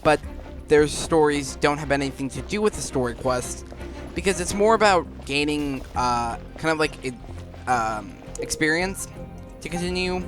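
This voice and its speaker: A man's voice: a little high, lively intonation, moderate pace. A man giving casual, rambling gameplay commentary with a hesitant delivery. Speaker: gaming video narrator. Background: game music.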